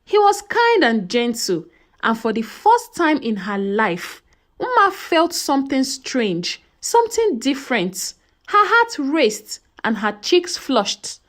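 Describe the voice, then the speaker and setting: A woman narrating a folktale as a scripted story, with an expressive, dramatic delivery. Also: sits high, slow.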